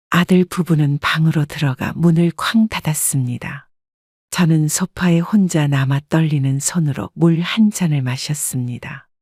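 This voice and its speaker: A woman narrating a scripted first-person family drama in a calm, even storytelling manner. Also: sits low, no echo, studio quality.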